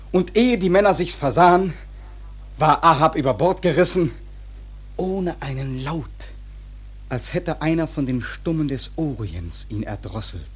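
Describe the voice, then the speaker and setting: A male actor narrating a sea story for a German radio play in a grave, measured, literary manner with a husky voice. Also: fairly high pitch. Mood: urgent, intense. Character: grave seafaring storyteller recounting a whaling disaster.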